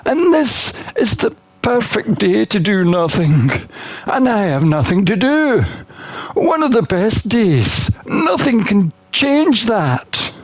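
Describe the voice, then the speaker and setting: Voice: medium pitch, very animated, takes it slowly, no echo, exaggerated voice. A game streamer voicing a contented, wine-loving satyr herbalist who narrates a visual novel in a leisurely, dreamy way.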